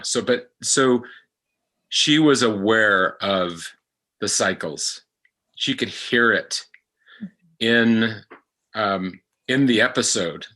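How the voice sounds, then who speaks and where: Deliberate, halting low-voiced man. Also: bright, forceful tone. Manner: halting, searching for words, earnest. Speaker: podcast actor, guest. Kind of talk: reflective discussion of a podcast episode.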